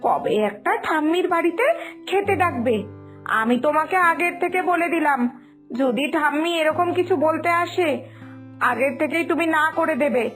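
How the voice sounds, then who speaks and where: A high-voiced cartoon girl acted by a woman, selfish and evasive. She sounds fussy and impatient, complaining her way through a moral tale with faint music underneath. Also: normal speed, a bit bright. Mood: defiant. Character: selfish, evasive young granddaughter, whiny and impatient. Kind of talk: animated moral-story cartoon acting.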